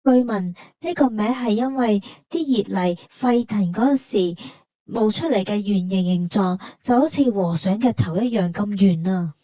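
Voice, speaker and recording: A woman's voice: mid-range voice, normal speed, mellow, no echo. A woman with a Hong Kong Cantonese accent hosting a travel vlog in a conversational, explanatory way, as scripted dialogue and narration.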